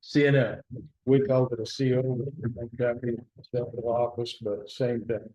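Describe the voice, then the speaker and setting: A man leading a town board meeting, talking haltingly with many restarts. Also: medium pitch, fairly even intonation, mellow. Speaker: presiding board trustee. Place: town hall meeting room. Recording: dull lo-fi meeting recording.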